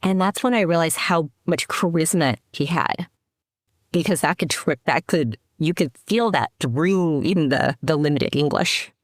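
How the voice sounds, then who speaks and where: A woman's voice: sits low, a little slow, dry recording, studio quality. A middle-aged woman from the Seattle area, a podcast guest, telling her story in a reflective way with many restarts. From Seattle area, United States. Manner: reflective, with frequent restarts and fillers. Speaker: podcast guest, company CEO. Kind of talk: casual interview talk.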